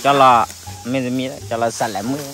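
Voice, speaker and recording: A man's voice: mid-range voice, steady tone, fast, gravelly, heavy background noise. A young man bantering playfully in casual chat on a forest hillside, heard through a camera mic.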